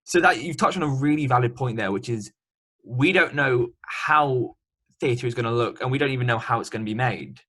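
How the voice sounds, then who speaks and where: A man's voice: mid-range voice, brisk, fairly bright tone. A man interviewing a guest in a remote chat, talking chattily and loosely with many ums and likes.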